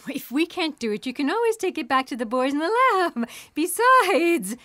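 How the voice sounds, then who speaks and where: A woman's voice: sits high, big pitch swings, normal speed, sharp tone, dry recording, heavily acted. A woman playing a flirtatious, coaxing paleontologist in a sci-fi comedy audio drama.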